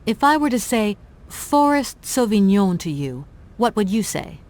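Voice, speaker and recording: An actress playing a polite, persistent young traveller in a video game, courteous and well-spoken. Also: female voice, medium pitch, sing-song, unhurried, a little mellow. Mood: courteous, inquisitive.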